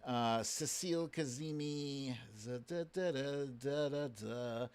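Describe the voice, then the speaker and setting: A man's voice: medium pitch, slow pace, darkish tone, smooth. A man hosting a casual live quiz stream, bantering with viewers in an informal, mildly sweary, teasing way.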